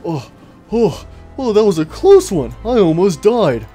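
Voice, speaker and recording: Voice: medium pitch, big pitch swings, measured pace, warm tone, soft background music. A performer voicing a kindly but fretful male animatronic bear in a cartoon skit, stammering and pleading. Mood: relieved, reassuring.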